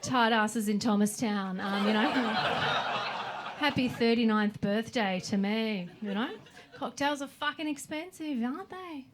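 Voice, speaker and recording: High-voiced sweary Australian woman comic. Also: a little slow. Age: 40s. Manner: brash, sweary, self-mocking punchlines. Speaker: stand-up comedian. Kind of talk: stand-up comedy set. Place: comedy club stage. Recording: live club audio, audience noise. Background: audience laughter.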